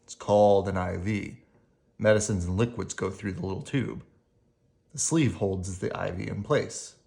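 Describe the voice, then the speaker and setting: An adult reading a picture book aloud to children in an expressive storytelling style. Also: deep voice, moderate pace, gravelly.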